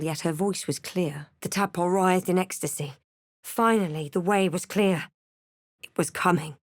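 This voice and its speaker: An actress voices a wary female adventurer from a fantasy video game. Her voice sits on the low side, with a measured, theatrical delivery and a dry, snarky edge. The sound is close, with no room on it at all. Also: no echo, close to an everyday voice. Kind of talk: video game voice lines, scripted barks and narration.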